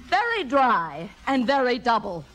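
An actress playing a jealous, sharp-tongued stage star in her forties, with theatrical sarcasm, in 1950s radio drama style. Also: on the high side, very animated, a little slow. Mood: brittle, bitter. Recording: 1950s radio broadcast with music.

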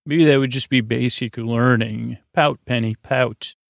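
An American man hosting a bedtime podcast in a creaky voice, rambling soothingly and haltingly with silly repetitions and tangents. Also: medium pitch, fairly even intonation, dry recording. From United States. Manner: meandering, halting, repeating words, silly.

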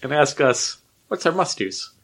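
Bright, animated man telling stories. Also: a little high, measured pace. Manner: animated storyteller who laughs often. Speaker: podcast host. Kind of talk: casual podcast chat.

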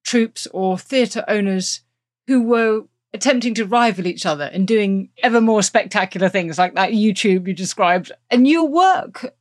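A woman with a mid-range voice hosts an interview. She speaks in articulate, measured sentences, summing up points and framing considered questions. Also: mid-range voice. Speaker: podcast host, history professor.

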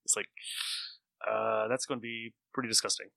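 A man's voice: fairly low pitch, flat delivery, normal speed, creaky, pushed and bright, no room sound, poor audio. A man hosting a podcast in casual, conversational discussion. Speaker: podcast host.

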